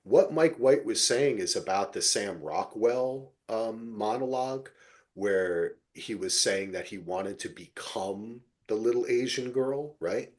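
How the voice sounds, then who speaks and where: Animated, low-voiced man rambling casually. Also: unhurried. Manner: rambling, animated, swears freely. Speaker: podcast host. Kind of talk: casual TV recap discussion.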